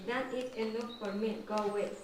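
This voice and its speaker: A woman's voice: fairly high pitch, steady tone, a little fast, natural voice. A woman playing a haughty, selfish slim birch tree in a puppet show. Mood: dismissive. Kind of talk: puppet show stage acting. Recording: echoey distant room, clear noise.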